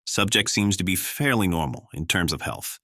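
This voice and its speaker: An actor playing a weary, reluctant scientist in charge, speaking in a measured, formal, clipped way in an audio drama. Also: male voice, deep voice, expressive, pushed and bright, no room sound, studio quality, full character voice. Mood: businesslike.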